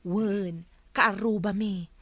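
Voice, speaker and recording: A woman's voice: medium pitch, steady tone, slow pace, a bit bright. A woman with a Filipino accent acting an exasperated schoolteacher wife who nags and scolds in a radio drama. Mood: chatty. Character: exasperated nagging schoolteacher wife, the household breadwinner. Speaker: actor in an audio drama.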